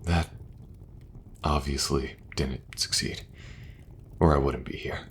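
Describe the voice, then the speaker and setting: A man playing a weary, dutiful king in a fantasy audio roleplay, with a grave, deliberate, regal manner. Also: very deep voice, steady tone, a little hiss or hum. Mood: grave, bitter.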